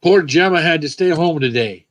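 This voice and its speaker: A man's voice: fairly high pitch, normal speed, a loud crowd. A man hosting a casual livestream chat, talking loosely and thanking his supporters. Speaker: livestream host.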